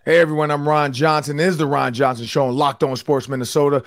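A man hosting a sports podcast, talking in a loose, upbeat way with a hoarse voice. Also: a little high, level tone, quick pace, soft background music. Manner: upbeat, loose, repeats words. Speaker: podcast host. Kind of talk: casual sports talk.

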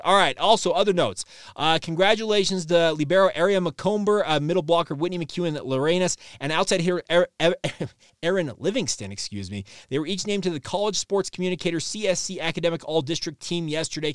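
A man in his thirties hosting a sports podcast solo, giving conversational news commentary with wordy phrasing and frequent filled pauses. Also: on the high side, clear, resonant tone, dry recording, studio quality. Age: 30s.

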